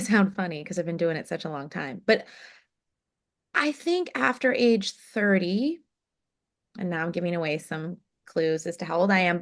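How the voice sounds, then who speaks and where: A woman in her thirties with a somewhat low speaking voice. She talks casually and chattily as an interview guest, with frequent fillers and light laughter. Her telling is relaxed and full of small asides. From Oklahoma, USA. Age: 30s. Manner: chatty, warm anecdotes with many fillers and laughs. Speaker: jazz singer guest.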